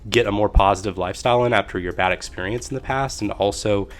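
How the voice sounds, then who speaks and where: Casting note: male podcast host, low pitch, relaxed and encouraging, casual slang. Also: fast. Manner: laid-back, affirming, drops in slangy asides.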